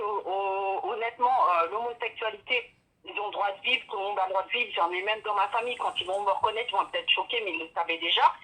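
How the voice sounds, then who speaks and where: A woman's voice: medium pitch, sharp tone, husky, poor audio. A woman calling in to a live show, polite and effusive, rambling casually from one point to the next. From born in Gabon, raised in France. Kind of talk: casual, rambling phone-in comment.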